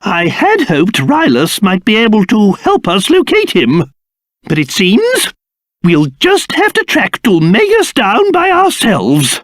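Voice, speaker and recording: A man's voice: high voice, wildly swooping pitch, takes it slowly, a bit bright, dry recording, studio quality, full character voice. A man voicing a fussy, haughty old cursed king, a creature and doting father in a video game, with a pompous, theatrical, exclamatory manner. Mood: dismayed, resolute. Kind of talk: video game character voice acting.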